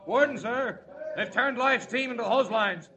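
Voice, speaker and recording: A man's voice: extremely high pitch, moderate pace, pushed and bright. A man playing a folksy, dutiful prison guard in a 1940s radio drama. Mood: urgent, excited. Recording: dull old recording, crowd commotion.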